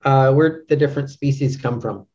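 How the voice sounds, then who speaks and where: A middle-aged man chatting as an interview guest, thinking aloud haltingly and repeating words as he goes. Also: medium pitch, darkish tone. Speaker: podcast guest, literary translator. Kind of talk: casual interview chat. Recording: dull, lo-fi audio.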